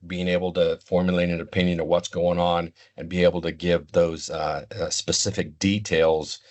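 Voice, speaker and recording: An older man giving unscripted, explanatory interview answers in a deliberate, halting way. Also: sits low. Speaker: interview guest, retired law enforcement communications expert.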